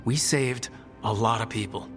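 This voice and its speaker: Actor as young male fantasy hero, wry, casual, very bright tone, long reverb, game dialogue. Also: medium pitch, moderate pace, close to an everyday voice. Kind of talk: fantasy video game voice acting. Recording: long reverb, music behind.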